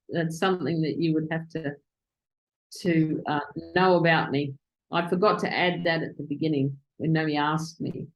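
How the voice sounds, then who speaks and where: A woman interviewing virtual assistants on a video call, excitable and quick to speed up when she gets going. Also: lowish voice, slight background noise, poor audio.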